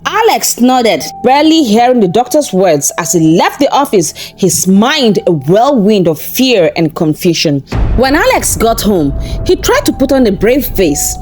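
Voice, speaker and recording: A woman narrating a scripted folktale in an expressive, dramatic storytelling manner. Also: fairly high pitch, measured pace, soft background music.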